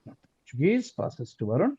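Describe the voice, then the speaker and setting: Animated quizmaster, man with lively pitch. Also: normal speed, slightly rough. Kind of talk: reading quiz questions in an online quiz. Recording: dull lo-fi call audio.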